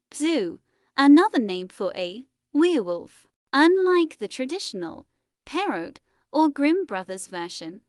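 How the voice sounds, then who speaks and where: Female educational presenter, animated scripted read, higher register, dry sound. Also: no echo.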